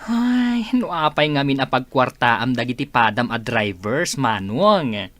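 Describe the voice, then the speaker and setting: A man's voice: medium pitch, sing-song, a little slow, fairly bright tone, dry recording. An earnest, deferential young man in a radio drama, speaking with an Ilocano accent. His questioning lines rise and fall freely, and the sound is close and dry. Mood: worried, questioning. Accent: Filipino, Ilocano. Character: respectful, questioning younger brother figure, earnest and worried.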